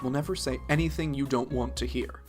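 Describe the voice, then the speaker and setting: A young man playing a reassuring companion-service pitchman in a scripted audio drama. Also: fairly even intonation, brisk, music in the background, natural voice. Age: young adult.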